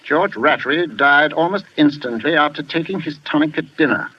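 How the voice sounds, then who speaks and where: An actor playing a shrewd, methodical police inspector, measured and formal, in a 1940s radio mystery. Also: male voice, a little high, breathy edge. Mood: accusing. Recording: muffled vintage radio recording.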